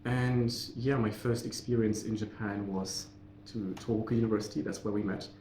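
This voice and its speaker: A young man from Germany, a doctoral student, answering interview questions in a relaxed, thoughtful way. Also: lowish voice, moderate pace. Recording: echoey room with faint noise.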